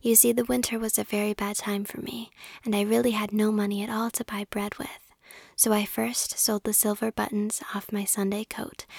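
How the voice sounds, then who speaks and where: A woman's voice: medium pitch, gravelly, a little mellow, breathy edge, no room sound, studio quality. A woman reading aloud in a whisper, voicing a humble, kindly young male gardener. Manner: meek, earnest.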